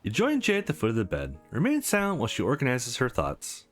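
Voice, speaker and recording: Male let's play narrator, mid-pitched and very animated, reading story text casually over a music bed. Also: fast. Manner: animated read-aloud with casual asides. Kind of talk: reading a visual novel aloud. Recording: music behind the voice.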